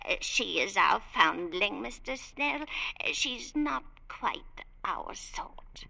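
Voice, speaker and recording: A woman's voice: medium pitch, very animated, vocal fry, pushed and bright, heavily acted, rough recording. An actress playing an imperious, genteel old society lady with veiled menace, in 1940s radio drama style. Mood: genteel, wistful. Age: older. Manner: haughty, affected, drawn-out delivery. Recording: vintage radio broadcast.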